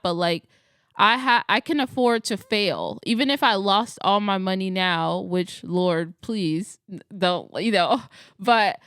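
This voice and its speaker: A woman's voice: mid-range voice, fairly bright tone, clear, resonant tone, no echo, clean studio sound. A woman in her late twenties chatting casually to camera as an astrology vlogger, rambling and correcting herself as she goes. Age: 20s. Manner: rambling, chatty, self-correcting with frequent laughs.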